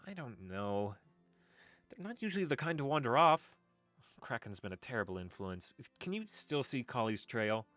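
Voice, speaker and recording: A man's voice: medium pitch, sing-song, moderate pace, fairly bright tone, soft background music. An actor playing a wry, exasperated young man in an audio drama, with a theatrical, sarcastic delivery. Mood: puzzled.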